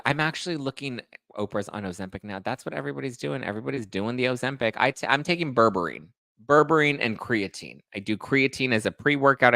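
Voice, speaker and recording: Sassy, slangy man with a lowish voice. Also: fairly bright tone, smooth, dry recording. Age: 30s. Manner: sassy, slangy, playful, addressing listeners as honey and boo. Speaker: host of a pop-culture livestream show. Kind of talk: casual chatty gossip commentary to live viewers.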